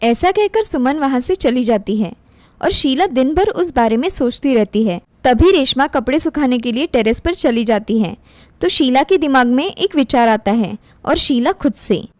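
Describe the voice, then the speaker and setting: A woman's voice: high-pitched, expressive, moderate pace, a little mellow, no echo. A woman reading scripted story narration as the narrator of a moral tale.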